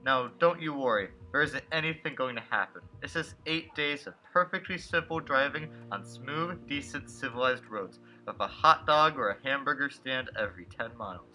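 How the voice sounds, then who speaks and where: A man's voice: medium pitch, moderate pace, hardly any pauses, pushed and bright, rough recording. A young actor's haunted man narrating over music. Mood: reassuring. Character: haunted 36-year-old man from Brooklyn, anxious but insisting he is sane. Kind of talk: radio play acting, first-person dramatic narration. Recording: music behind the voice.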